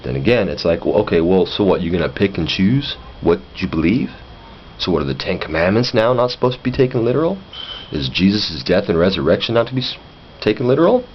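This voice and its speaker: A low-pitched man talks casually and bluntly, with lively swings in intonation and an exasperated, opinionated manner. The home recording is muffled, with noticeable background noise. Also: quick pace. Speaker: vlogger. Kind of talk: casual opinionated monologue with acted-out dialogue.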